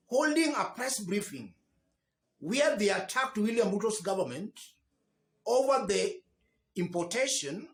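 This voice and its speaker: A man with a Kenyan accent delivering political news commentary as a host, with emphatic, deliberate phrasing in short bursts. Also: sits high. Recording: echoey room.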